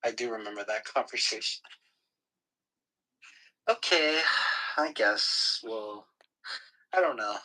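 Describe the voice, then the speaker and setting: A young man chatting casually with his partner, relaxed and teasing, laughing often. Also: on the low side, slow, long pauses, poor audio. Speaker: livestream co-host.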